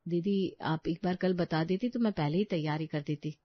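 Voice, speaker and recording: A woman's voice: lowish voice, moderate pace, lo-fi sound, pitched below the performer's own voice, natural voice. A woman voicing a meek, dutiful young daughter-in-law in a family story, polite and placating.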